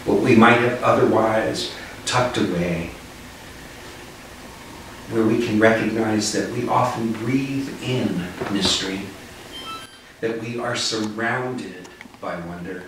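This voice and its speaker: Older male minister, low voice, reflective and deliberate, recorded at a distance in a very reverberant room. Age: 60s. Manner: measured, solemn, deliberate phrasing. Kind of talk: reflective sermon, read from notes. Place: living room with small seated group. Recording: echoey living room, distant mic, faint noise.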